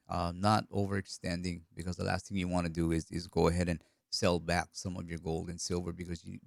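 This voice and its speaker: A middle-aged man with a cold, from Hawaii, hosts a relaxed live stream. His low voice has a gravelly, croaky edge. The sound is dry and close, and he rambles with frequent restarts. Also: no echo. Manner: rambling, repeats words. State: hoarse with a cold. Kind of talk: casual live stream chat answering comments.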